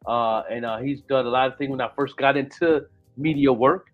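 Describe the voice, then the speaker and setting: A man's voice: mid-range voice, moderate pace, soft background music. Rambling man with dull lo-fi sound. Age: 40s. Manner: rambling, many restarts and "you know what I'm saying". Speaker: podcast host. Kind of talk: casual chat.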